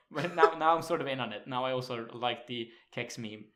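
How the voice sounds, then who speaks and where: A young man from Sweden with a Swedish accent, co-hosting a casual podcast chat, laughing often, swearing casually and pausing with fillers. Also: mid-range voice, a bit bright. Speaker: podcast co-host.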